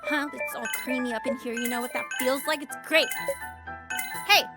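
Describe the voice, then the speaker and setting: A woman's voice: sits high, steady tone, moderate pace, loud music behind the voice, natural voice. A young woman playing a brash, hustling webcam dominatrix in a comedic audio drama, sassy, casual and bossy. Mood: teasing, domineering.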